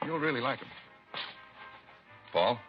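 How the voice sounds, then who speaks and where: An actor playing a chummy, eager bartender and go-between in a 1950s radio drama. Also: male voice, mid-range voice, animated, slow. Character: chummy, fast-talking male bartender acting as go-between. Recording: dull old radio recording, music behind.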